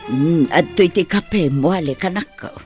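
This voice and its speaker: A woman's voice: lowish voice, normal speed, over music, close to an everyday voice. A woman with a northern Philippine accent playing a worried, fretful older village mother in a radio comedy drama. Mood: caring.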